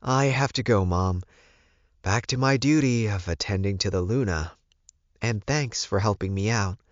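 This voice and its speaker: Earnest werewolf servant and son acted by a male narrator, anxious and pleading, lifted pitch, dry audiobook sound. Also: a little slow, no room sound, muffled recording, close to an everyday voice. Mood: dutiful. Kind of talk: audiobook character dialogue.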